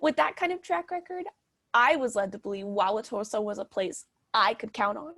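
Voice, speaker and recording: A woman's voice: on the high side, moderate pace, pushed and bright, no echo, clean studio sound. A woman playing a doting but overbearing mother in a stage comedy, gushing and reciting with pompous theatrical grandeur. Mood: pompous, insistent.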